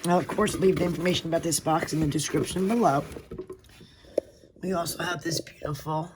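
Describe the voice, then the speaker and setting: A woman with a hoarse voice from a cold, hosting a casual unboxing video and gushing softly over pretty items. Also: deep voice, steady tone, fairly quick, noisy background. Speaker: unboxing channel host.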